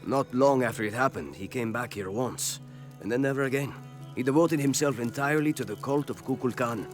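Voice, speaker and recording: A man playing a kindly, reflective villager in a video game cutscene, recounting things with quiet concern. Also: mid-range voice, a little fast, close to an everyday voice. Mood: wistful. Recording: music behind game dialogue.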